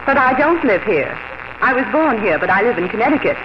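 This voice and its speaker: Sociable woman, animated, arch, wry, gushing, 1950s radio drama, muffled noisy broadcast. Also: a little high, brisk. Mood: breezy. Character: breezy, sociable East Coast woman, wryly teasing.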